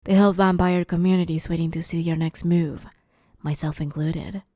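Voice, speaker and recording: A smug, playful vampire woman, acted by a woman for an ASMR roleplay, with a slightly low, dark and velvety voice. She teases and threatens in a slow drawl that often sinks into whispers, recorded close and dry. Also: steady tone, dry recording, lightly acted. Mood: coaxing, playful. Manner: teasing, drawling, often dropping to breathy whispers. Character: playful, smug, menacing female vampire interviewer. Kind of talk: ASMR roleplay, scripted monologue.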